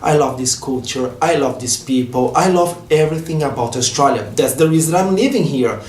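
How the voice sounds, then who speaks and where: A man's voice: on the high side, fairly quick, darkish tone, roomy sound, a little hiss or hum. Brazilian-accented man, earnest vlog talk. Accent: Brazilian Portuguese. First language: Portuguese. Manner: earnest and heartfelt, slightly non-native phrasing. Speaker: vlogger. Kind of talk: personal vlog monologue.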